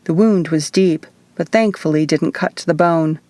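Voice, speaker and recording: A woman's voice: low voice, normal speed, darkish tone, no room sound. A woman reading scripted first-person fiction as an audiobook narrator. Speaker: author reading own audiobook.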